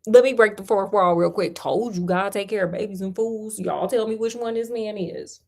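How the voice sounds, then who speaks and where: A woman with an American accent reads a fairy tale in an animated style, with playful, chatty, slangy asides. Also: medium pitch, moderate pace, gravelly. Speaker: storyteller and host.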